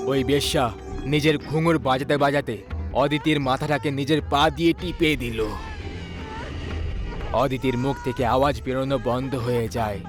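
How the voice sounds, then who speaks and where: A man's voice: medium pitch, steady tone, moderate pace, music in the background. A man narrating a scripted horror story.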